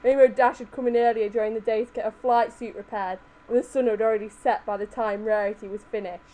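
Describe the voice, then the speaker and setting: A woman's voice: fairly high pitch, steady tone, fast talker, dark tone. A woman giving an amateur dramatic reading of fan fiction in a group. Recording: faintly noisy home voice-chat recording.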